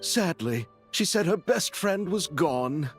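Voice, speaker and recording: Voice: mid-range voice, lively intonation, takes it slowly, music in the background. An actor playing a gallant, flowery and dramatic film director in a video game. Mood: dramatic, enthused.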